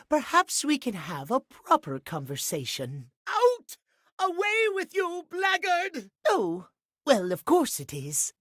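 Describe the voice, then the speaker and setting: A man's voice: very high-pitched, a bit bright, dry recording, studio quality, heavily acted. A man voicing a prim, haughty, easily offended timekeeper in a video game, with pompous, theatrical formal phrasing. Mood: fussy, pleased. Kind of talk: video game character voice acting.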